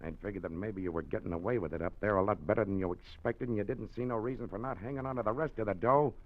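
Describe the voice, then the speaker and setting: A scheming mob boss played by an actor in a vintage radio thriller, his voice low and hard. He talks in clipped, tough-guy bursts. The sound is dull and lo-fi, like an old broadcast. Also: quick pace, natural voice. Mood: menacing. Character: scheming male gangster boss, menacing. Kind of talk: 1940s radio acting.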